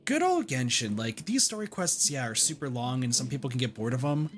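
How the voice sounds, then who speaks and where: A man commenting casually as he streams a game. His voice sits at an average pitch, and he talks informally and reflectively, often repeating phrases. Faint game music runs underneath. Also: very animated, brisk.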